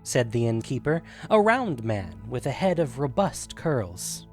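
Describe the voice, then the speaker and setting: A man's voice: medium pitch, very animated, measured pace. Male fantasy narrator over faint music. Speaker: narrator of a fiction podcast. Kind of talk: scripted fantasy story narration.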